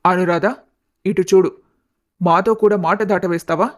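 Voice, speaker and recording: A woman voicing a stern but caring old grandmother in an animated family story, grave and direct. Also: fairly low pitch, moderate pace, lightly acted. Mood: stern, probing.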